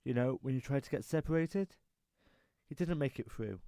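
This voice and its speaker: An actor playing a frank, downtrodden circus worker in a radio drama, earnest and plaintive. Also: male voice, mid-range voice, expressive, moderate pace, warm tone, no echo, clean studio sound, lightly acted. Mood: frank, urgent.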